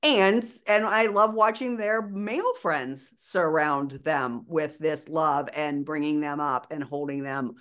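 An older woman with a very low voice, co-hosting a podcast over a video call, speaking earnestly and with conviction. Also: fairly even intonation, slow, few pauses, fairly bright tone. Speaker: podcast co-host. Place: small bedroom at home.

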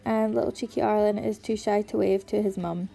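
A woman's voice: mid-range voice, steady tone, brisk, creaky, dark tone, faint music behind. Tired pregnant woman narrating. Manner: chatty, friendly, explaining each step. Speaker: cleaning vlogger. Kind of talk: voice-over narration of a cleaning video.

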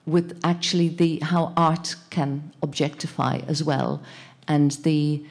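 Low-voiced woman, halting and searching. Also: little pitch movement, creaky, darkish tone. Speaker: panel moderator. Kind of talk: thoughtful panel discussion speech. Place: indoor panel event in a plain gallery room. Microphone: handheld microphone.

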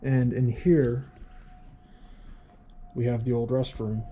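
Male urban-explorer vlogger, warm low-key tone, musing walkthrough on site, faint ambient hiss behind. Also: little pitch movement, slow, muffled recording. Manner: musing, observational, thinks aloud. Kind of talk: casual on-location walkthrough narration. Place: inside an abandoned train station.

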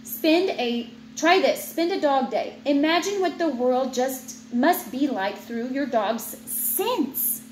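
A woman in her thirties reads a children's book aloud in a high, very lively voice. She takes her time and slips into chatty asides with a child. The recording is made in a roomy, echoey space at home. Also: slow, few pauses. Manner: expressive, teacherly reading that breaks off into playful asides. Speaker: parent reading aloud to a child. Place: indoors in an open room at home with high ceiling. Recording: roomy home recording with clear background noise.

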